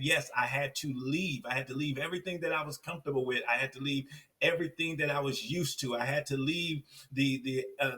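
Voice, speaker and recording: A man's voice: medium pitch, distant mic. A man telling his life story as a podcast guest, acting out other people's lines as he goes. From Compton, California. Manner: animated storyteller acting out quoted voices. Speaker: podcast guest, success coach.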